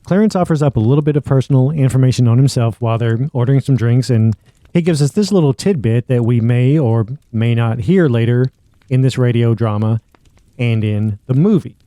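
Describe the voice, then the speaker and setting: A man's voice: mid-range voice, a little fast, mellow, no echo. A man hosting a podcast, giving linking commentary between story excerpts. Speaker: podcast host. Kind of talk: commentary linking radio drama clips.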